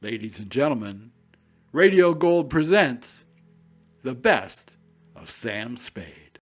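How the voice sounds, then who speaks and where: A man's voice: mid-range voice, sing-song, slow pace, soft background music. A man reading scripted documentary narration as a presenter.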